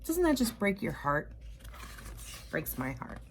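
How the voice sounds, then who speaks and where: A woman reading a picture book aloud to young children, with expressive drawn-out emphasis and chatty asides to her listeners. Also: fairly low pitch, a little hiss or hum.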